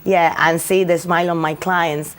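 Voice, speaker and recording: Young Spanish woman, lawyer guest, lowish voice, halting non-native English. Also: little pitch movement. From Spain. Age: young adult. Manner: hesitant, many fillers and restarts. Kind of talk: interview answers.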